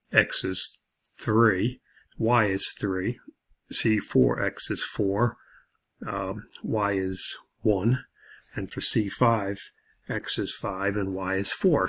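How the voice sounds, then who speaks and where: A man instructing in a video lesson, explaining a worked problem step by step in a halting way. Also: on the low side, gravelly, fairly bright tone. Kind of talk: video lesson walking through example problems.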